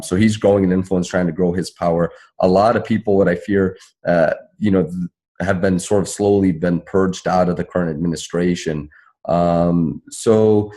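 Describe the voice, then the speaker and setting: A man speaking as an activist panelist, giving earnest, somewhat halting political commentary in answer to a question. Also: deep voice, warm tone. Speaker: activist panelist at a town hall.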